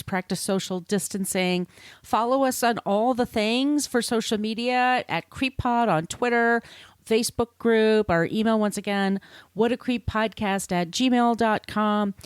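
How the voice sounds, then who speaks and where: A woman's voice: a little high, clear, resonant tone, no room sound, studio quality. A woman co-hosting a podcast, talking casually in a friendly, informal way. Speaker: podcast co-host.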